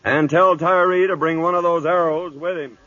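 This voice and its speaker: A man acting a gruff, dry-humoured veteran cavalry captain in an old-time radio drama, clipped, authoritative and wry. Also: a little high, steady tone, moderate pace, sharp tone. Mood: brisk, commanding. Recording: dull vintage radio broadcast, music behind.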